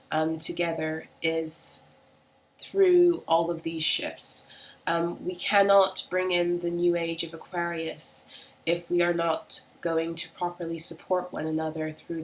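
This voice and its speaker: Woman with a head cold, raspy and kinda low, flat delivery, lots of ums, faint hum in the room. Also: rough recording. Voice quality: raspy, deeper than usual. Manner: halting, with frequent ums and restarts. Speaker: tarot and astrology reader. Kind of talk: casual solo video monologue. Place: at home in the UK.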